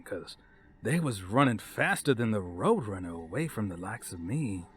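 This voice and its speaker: A man voicing a folksy, remorseless serial killer who boasts casually and with dark amusement in a horror monologue. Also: on the low side, slight background noise.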